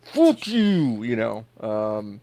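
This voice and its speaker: A man's voice: fairly low pitch, very animated, extremely slow, mellow, no room sound. A man chatting casually as a podcast guest, joking and self-deprecating. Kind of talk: casual chat.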